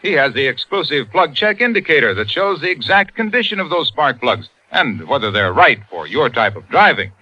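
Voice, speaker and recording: An actor playing an excitable, folksy cowboy pitchman in a 1950s radio commercial, with a bouncy salesman's delivery. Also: normal speed, few pauses, highs cut off. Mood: upbeat selling. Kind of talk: 1950s radio advertising, acted. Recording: band-limited old radio broadcast.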